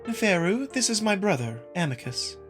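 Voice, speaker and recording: A man's voice: high voice, sing-song, normal speed, over music, voice pushed up. A man voicing a haughty, playful young female wolf noble in a theatrical, sing-song visual novel reading. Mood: poised, sociable.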